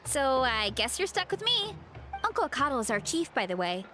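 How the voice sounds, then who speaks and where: A woman voicing a cheerful, friendly young woman athlete in a game, who talks in a bright, earnest way. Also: high-pitched, sing-song, normal speed, heavily acted. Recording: game audio with faint music.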